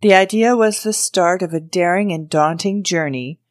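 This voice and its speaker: A woman's voice: lowish voice, moderate pace, no room sound, studio quality. A woman podcast host reading a scripted introduction and news story aloud as narration.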